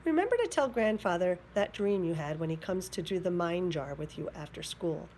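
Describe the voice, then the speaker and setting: A woman's voice: on the low side, lively intonation, moderate pace, darkish tone, slight background noise, natural voice. A woman voicing a caring mother cow in a storybook read-aloud, giving a matter-of-fact reminder. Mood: reminding.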